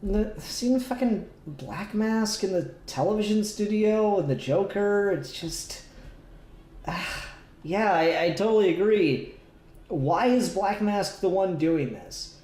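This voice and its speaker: A man co-hosting a casual comic book discussion, talking in a rambling, animated fan's manner. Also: high voice, takes it slowly, reverberant. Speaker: podcast co-host.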